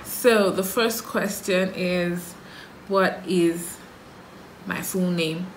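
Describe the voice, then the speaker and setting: A Nigerian woman in her thirties talks unhurriedly to her camera, answering her own questions in a relaxed, musing way. Her voice sits a little low. The small room around her echoes, with a faint hiss underneath. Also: very slow. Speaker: makeup vlogger. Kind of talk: casual get-to-know-me video. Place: small indoor room, sheet backdrop.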